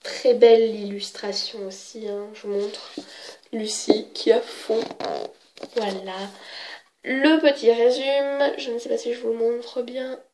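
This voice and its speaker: A woman's voice: fairly high pitch, little pitch movement, a little slow, a little mellow, a little hiss or hum. A woman with a cold chatting casually as she shows off her manga books, hesitant and full of fillers and self-corrections. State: has a cold. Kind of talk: casual show-and-tell.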